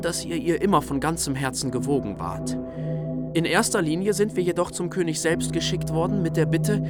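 An actor playing a proud minstrel envoy delivering a formal, persuasive message in a radio play. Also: male voice, a little high, close to an everyday voice. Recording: heavy music underneath.

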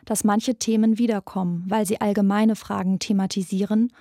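A woman's voice: medium pitch, fairly even intonation, normal speed, mellow, no room sound, studio quality. A young woman presenting a podcast with scripted narration. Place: dry studio.

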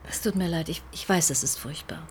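An actress playing a composed, considerate police detective in a naturalistic crime drama. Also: female voice, low voice, brisk, slight background noise, natural voice. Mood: gentle, official.

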